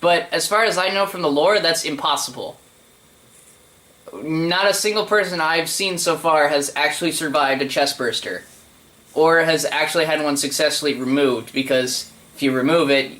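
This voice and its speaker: A man's voice: a little high, a bit bright. A man hosting a gaming video, giving casual reaction commentary in an opinionated, colloquial way.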